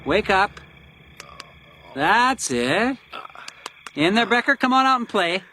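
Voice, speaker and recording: A man's voice: high voice, sing-song, slow, bright, forceful tone, a little hiss or hum, heavily acted. An actor playing a sadistic, theatrically playful male villain in a radio crime drama. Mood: gleeful taunting. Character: sadistic, taunting male villain who plays deadly games.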